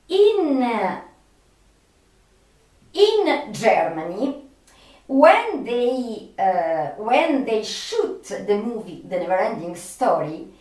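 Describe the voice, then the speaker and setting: A woman hosting a video monologue, speaking haltingly and earnestly, with long filled pauses and repeated phrases. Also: medium pitch, darkish tone. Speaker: video host. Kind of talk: rambling monologue to viewers. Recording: roomy, echoey, distant from the mic.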